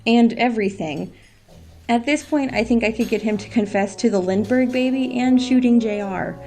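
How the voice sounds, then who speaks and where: A woman playing a dry, resolute secret agent in an audio drama, with a wry, brisk manner. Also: fairly high pitch, dark tone, close to an everyday voice.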